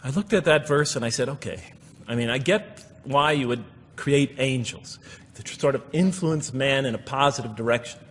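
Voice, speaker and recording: A man's voice: medium pitch, moderate pace. A man giving a public religious lecture in an explanatory, reflective manner, reciting verses and commenting on them. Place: lecture hall before an audience. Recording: echoey hall with long reverb.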